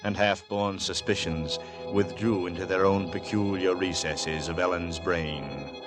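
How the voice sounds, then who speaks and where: An actor as the measured, dryly wry storyteller narrating a 1940s radio suspense drama in a literary manner. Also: male voice, low-pitched, steady tone. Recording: vintage radio, music behind.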